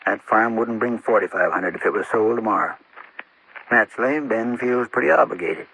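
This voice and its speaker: A folksy, low-voiced country constable. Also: male voice, creaky, bright, forceful tone. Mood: reluctant, thoughtful. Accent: rural American. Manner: folksy, deliberate, plain-spoken. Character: slow, steady, folksy male small-town constable. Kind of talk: golden-age radio acting. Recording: noisy, clicking old radio recording.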